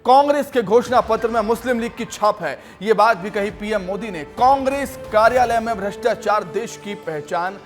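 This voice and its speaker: A male TV news anchor speaks in a high register. His scripted delivery is emphatic and energetic, with music playing behind him. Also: moderate pace, hardly any pauses.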